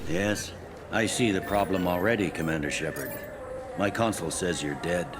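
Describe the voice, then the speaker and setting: An actor playing a gruff, matter-of-fact security captain in a sci-fi video game. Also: male voice, low voice, moderate pace, close to an everyday voice. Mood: blunt. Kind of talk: video game dialogue acting. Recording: busy crowd ambience behind.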